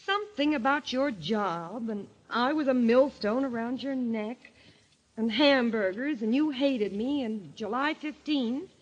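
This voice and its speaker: High-pitched, frightened radio-drama wife. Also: female voice, dull audio. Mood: anxious. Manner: breathless, melodramatic, halting. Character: frightened, fretful young wife waking from a nightmare. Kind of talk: old-time radio drama acting.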